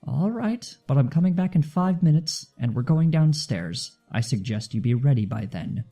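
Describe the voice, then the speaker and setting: Man acting a haughty older-generation society mare, stern, imperious, raised pitch, very dark soft tone, storybook drama. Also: animated, normal speed, faint music behind. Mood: impatient.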